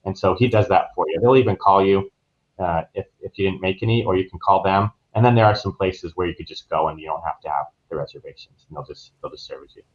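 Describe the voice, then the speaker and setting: A man's voice: low-pitched, quick pace, highs cut off. A man giving casual, easygoing, anecdotal answers as an interview guest. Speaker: travel agent, interview guest.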